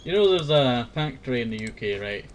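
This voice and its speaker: A man with a mid-pitched voice rambles in a casual, homemade talk. He circles back over words and phrases and now and then reads aloud. There is clear noise in the recording. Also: animated, a little fast. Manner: meandering, repeats words and verbal tag phrases. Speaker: host of a fireside talk series. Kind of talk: rambling casual chat, some reading aloud.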